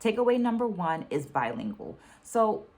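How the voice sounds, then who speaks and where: A middle-aged woman talking to viewers in a reflective book vlog, speaking articulately and deliberately, repeating phrases for emphasis. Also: mid-range voice, big pitch swings, darkish tone. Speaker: book reading vlogger, dancer and educator. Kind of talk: reflective book-takeaway vlog, semi-scripted talk to viewers.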